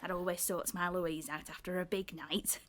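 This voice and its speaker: A woman's voice: fairly low pitch, fairly even intonation, moderate pace, fairly bright tone, a little hiss or hum, close to an everyday voice. A British woman playing a chatty, motherly, apologetic hotel housekeeper who rambles and fusses deferentially in an audio drama. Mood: eager to help.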